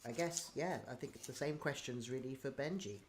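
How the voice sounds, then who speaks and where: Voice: medium pitch, a little fast, slight background noise. A host reading out audience questions and chatting casually with guests, often laughing. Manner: laughing, lively retelling. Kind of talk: casual chat.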